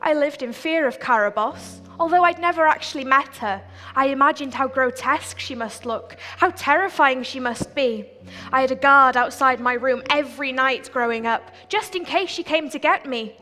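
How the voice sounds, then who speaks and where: A woman's voice: sits high, normal speed, bright, forceful tone. A woman playing an earnest, meek young princess in a stage pantomime, chatting and telling her story. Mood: storytelling. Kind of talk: pantomime stage acting and narration. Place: theatre stage. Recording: hall reverb, live stage production.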